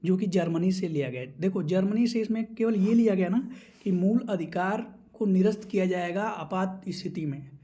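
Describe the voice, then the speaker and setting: A man's voice: high voice, normal speed, warm tone. A man giving an instructional exam-prep lecture, explaining points item by item as a teacher.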